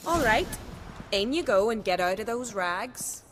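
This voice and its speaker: A woman's voice: fairly high pitch, lively intonation, measured pace, big-room sound, slight background noise. A woman playing a chatty, bossy young village girl in a video game, with a folksy, teasing way of talking. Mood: brisk, cheery. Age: young adult. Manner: folksy, dialect-heavy, teasing.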